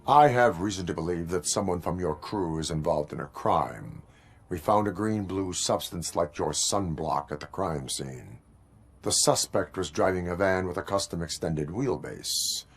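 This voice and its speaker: Deep, stoic cyborg cop. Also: male voice, lively intonation. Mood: stern, flat. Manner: stiff, formal, deliberate phrasing. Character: stoic male cyborg police officer, formal, relentless. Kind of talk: video game cutscene acting.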